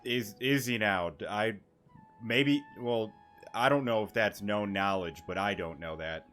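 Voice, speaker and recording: A man's voice: fairly low pitch, measured pace, a bit bright. A man giving casual, rambling gameplay commentary as a streamer, repeating words and swearing casually. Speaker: gaming streamer. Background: game music.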